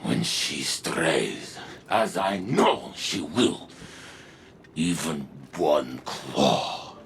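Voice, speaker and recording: An actor playing a proud, passionate warrior lord in a video game, speaking in a formal, dramatic way. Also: male voice, rumbling low, very animated, very slow, gravelly, a bit bright, slight background noise, full character voice. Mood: grave, bitter.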